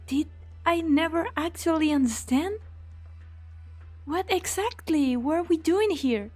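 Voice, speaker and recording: A woman's voice: very high voice, animated, slow, darkish tone, soft background music, exaggerated voice. A woman playing a worried, compassionate young woman in an amateur game fan dub. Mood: bewildered.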